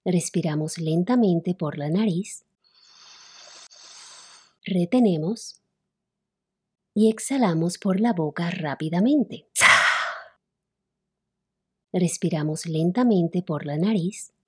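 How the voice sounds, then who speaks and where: A woman leading a guided relaxation as a meditation instructor, soothing and unhurried, with long pauses between phrases. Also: lowish voice, dark tone, breathy edge. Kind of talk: guided relaxation, yoga nidra narration.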